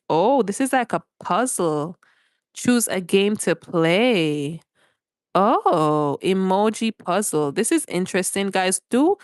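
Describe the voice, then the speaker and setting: A woman's voice: mid-range voice, no echo, clean studio sound. A woman with a Jamaican accent hosting a casual live stream from her phone, chatting and greeting viewers by name as she reads their comments aloud. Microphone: phone held for a vertical live stream.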